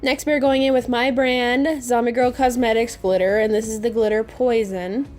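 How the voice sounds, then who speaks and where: A woman's voice: on the high side, steady tone, moderate pace, a little mellow, faint music behind. A woman narrating a casual makeup tutorial in a playful, gushing, informal way.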